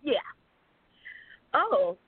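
A woman's voice: high voice, very animated, drawn-out words, breathy edge, no room sound. A woman speaking as an interview guest, telling stories in a casual, conversational way over a phone line. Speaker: interview guest, company founder. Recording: dry, tinny phone line.

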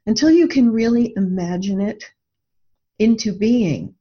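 A woman's voice: mid-range voice, expressive, a little slow, mellow, husky, highs cut off. A woman speaking reflectively in a discussion, earnest and thoughtful, often posing rhetorical questions. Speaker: radio show co-host and author.

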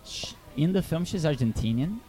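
A man's voice: fairly high pitch, animated, moderate pace, mellow. A young Brazilian man with a Brazilian Portuguese accent, a film director answering interview questions, hesitant with many fillers and restarts.

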